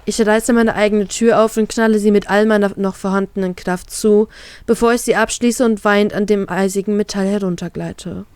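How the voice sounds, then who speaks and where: A woman reading her own fan fiction aloud in an expressive, emotional way. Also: mid-range voice, normal speed, smooth, no echo. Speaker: fan fiction author and reader.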